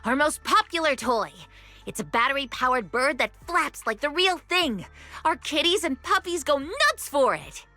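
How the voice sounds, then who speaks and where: Eager, bright-voiced young shop clerk. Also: female voice, sits high, very animated, normal speed, faint music behind, full character voice. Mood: helpful. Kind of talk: anime-style game voice acting.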